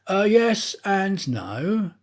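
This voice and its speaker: A man's voice: high voice, very animated, drawn-out words, pitched above the performer's own voice, exaggerated voice. A man voicing an uneasy, evasive detective who hides his purpose, in an audiobook. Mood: evasive, embarrassed. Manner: hesitant, evasive.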